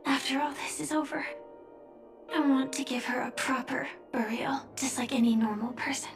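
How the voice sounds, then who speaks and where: A woman voicing a grieving but resolute young knight in a video game, solemn, restrained and softly breathy. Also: high-pitched, steady tone, moderate pace. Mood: solemn, reflective. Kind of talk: dramatic video game voice acting. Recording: roomy echo, music underneath. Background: music.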